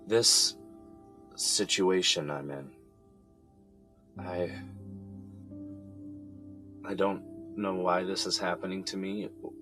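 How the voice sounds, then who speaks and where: An actor playing a grown son who is in jail and prays for help, in an earnest, halting way with sighs. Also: male voice, low voice, fairly bright tone, exaggerated voice. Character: adult son jailed, praying for release. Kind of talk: audio drama acting. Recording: music under the voice.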